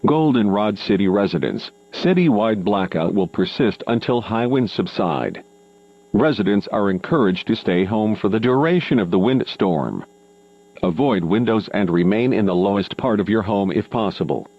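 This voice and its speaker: Casting: male emergency alert announcer, low-pitched, impersonal and measured, on a lo-fi radio broadcast. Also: animated. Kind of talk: scripted public emergency announcement. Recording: dull lo-fi radio broadcast with hum.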